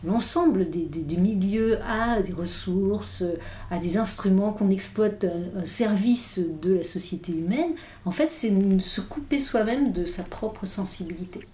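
A woman's voice: mid-range voice, a little slow, warm tone, a little hiss or hum. A woman whose first language is English, a philosopher speaking as a guest in an interview, thoughtful and full of hesitations and restarts. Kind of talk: reflective interview talk. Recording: roomy echo.

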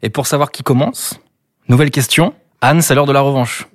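A man's voice: mid-range voice, steady tone, a little fast, background noise. A man from Brittany hosting a conversation with guests, upbeat, with playful game-show asides. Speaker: podcast host. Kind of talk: hosted conversation.

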